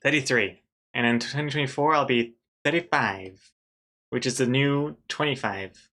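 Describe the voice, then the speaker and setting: A man's voice: medium pitch, normal speed, bright, forceful tone. A man in his thirties, a livestreaming artist talking casually to chat while he draws, chatty and self-mocking. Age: 30s. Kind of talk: casual commentary while drawing on stream.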